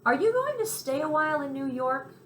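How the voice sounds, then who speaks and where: Woman voicing a chatty, brash, high-pitched city mouse. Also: big pitch swings, a little fast. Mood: friendly, chatty. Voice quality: squeaky. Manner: talkative, scornful of snobs, city slang. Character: Tucker, chatty streetwise male city mouse, brash and friendly. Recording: roomy echo, faint background noise.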